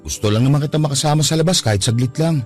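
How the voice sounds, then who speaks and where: A man's voice: a little high, racing, darkish tone, faint music behind, natural voice. A man playing a young boyfriend in a radio drama, earnest and pleading with his partner. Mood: hurt.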